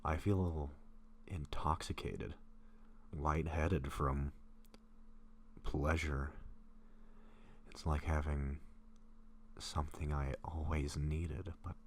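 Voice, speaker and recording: A man playing a gentle, apologetic, lovestruck vampire in an intimate audio roleplay, speaking in hesitant, breathy murmurs and halting phrases. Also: rumbling low, steady tone, slight background noise, exaggerated voice. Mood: blissful, dazed. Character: gentle, apologetic lovestruck young male vampire, shyly hungry.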